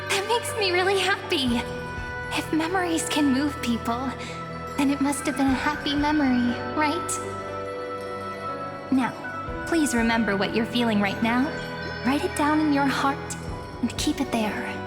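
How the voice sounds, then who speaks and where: A woman playing a gentle, wistful young woman in a video game, with soft, lyrical phrasing and quiet playfulness. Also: high voice, a little slow, long pauses, long reverb tail. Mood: tender, wistful. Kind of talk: scripted video game character acting. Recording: music behind the voice.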